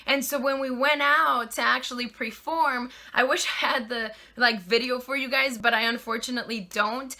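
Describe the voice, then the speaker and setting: A woman's voice: a little high, fairly even intonation, normal speed, few pauses, sharp tone. A woman in her twenties from Poland with a slight Polish accent, chatting casually as she answers viewer questions in her vlog. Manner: chatty, with many "like" and "you know" fillers.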